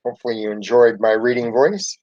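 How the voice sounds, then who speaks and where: A man's voice: medium pitch, brisk, darkish tone, dry recording. Man reading a children's story, muffled recording. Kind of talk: expressive children's picture book narration. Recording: dull lo-fi home recording.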